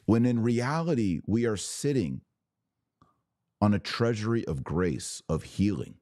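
A deep-voiced American man speaks in a very dry acoustic. He delivers religious commentary as a podcast host, unscripted and impassioned. His delivery is preachy and emphatic, built on short, punchy phrases. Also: lively intonation, unhurried, darkish tone, no room sound. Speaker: podcast host taking viewer questions.

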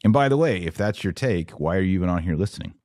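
Deep voiced guy from texas doing a live podcast, dry studio sound, casual but emphatic, chatting with commenters. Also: lively intonation, quick pace, darkish tone, dry recording. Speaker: podcast host.

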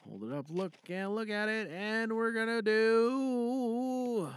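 A man's voice: high voice, takes it slowly, clear, resonant tone, dry recording, studio quality. A man hosting a podcast with casual, theatrical chat, rambling and showily talking himself through what he does. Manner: rambling, showy, talks himself through actions. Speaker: host of an audio drama podcast.

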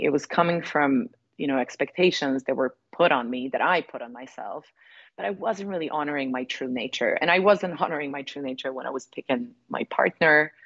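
A woman from Serbia in her forties speaks in a low register and reflects on her path, pausing often with fillers and restarting her sentences. The recording is dry and a little muffled. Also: no echo. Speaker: women's relationship coach. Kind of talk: conversational interview.

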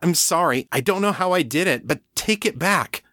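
A man's voice: on the high side, lively intonation, normal speed, pushed and bright, no room sound, studio quality, voice pushed up. A man voicing a frantic young supernatural woman who pleads in a rising, urgent voice. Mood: pleading.

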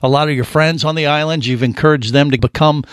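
A chatty man hosting a radio interview, rambling a little as he talks. His voice sits a bit higher than average. The studio sound is close and dry. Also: steady tone, fast, clear, resonant tone, dry recording. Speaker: radio show host.

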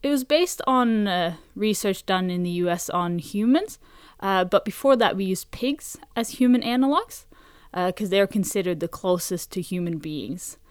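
A woman from Norway, a researcher giving measured, factual explanations as an interview guest. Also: medium pitch, smooth, no room sound.

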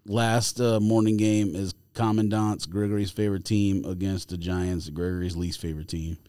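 A man's voice: sits low, level tone, moderate pace, mellow, clear, resonant tone, no room sound, clean studio sound. A man hosting a casual sports podcast, debating game picks in a blunt, sardonic way.

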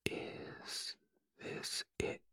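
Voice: extremely slow, no room sound, clean studio sound. A reader whispering the lines of a gloomy, mournful old donkey in a storybook. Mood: glum whisper. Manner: soft whisper.

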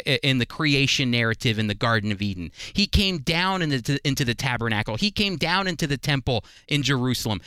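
A man's voice: on the high side, pushed and bright, clean tone, no echo. A man teaching the Bible in a sermon style, emphatic and putting rhetorical questions to his listeners. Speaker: Bible teacher and program host.

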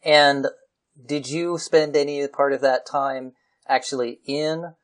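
A man hosting a podcast, introducing the episode clearly and steadily before interviewing a guest. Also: medium pitch, fairly even intonation, takes it slowly.

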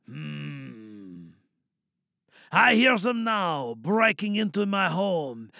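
Man putting on a high, grandiose, slow-speaking troll. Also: gravelly, bright, forceful tone, no room sound, studio quality, heavily acted. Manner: declamatory rhymed verse, drawn-out words. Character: aggrieved old mountain troll, brooding, menacing, grandiose. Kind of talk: theatrical audio drama monologue.

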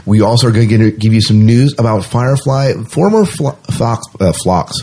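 A man co-hosting a podcast in casual chat, with jokey banter and words repeated in quick agreement. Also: mid-range voice, expressive, warm tone, a little hiss or hum. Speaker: podcast co-host. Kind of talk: casual chat, running through the show's lineup.

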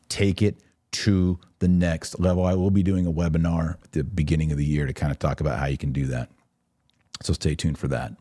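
A man's voice: very deep voice, dark tone, husky, no echo, studio quality. A man hosting a religious commentary podcast, speaking in an emphatic, instructive yet conversational way. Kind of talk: live religious commentary, answering listener questions.

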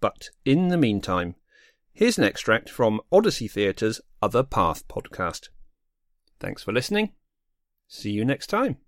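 A man's voice: on the low side, very animated, a little slow, dry recording, clean studio sound. A man presenting a scripted podcast outro as host.

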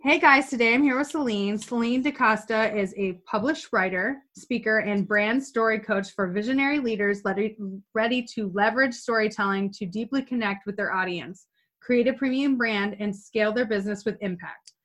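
A woman's voice: mid-range voice, normal speed, fairly bright tone. A woman hosting a podcast interview, chatty and upbeat, in her thirties. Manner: chatty, upbeat, restarts words. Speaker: podcast host.

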